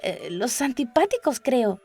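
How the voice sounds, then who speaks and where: A woman's voice: on the high side, big pitch swings, unhurried, darkish tone, breathy edge, soft background music, pitched above the performer's own voice, exaggerated voice. A woman voicing a curious, dreamy young girl who chatters and muses to herself in a storybook. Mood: chattering, curious. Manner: musing aloud, questioning, wordy. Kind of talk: storybook character voice.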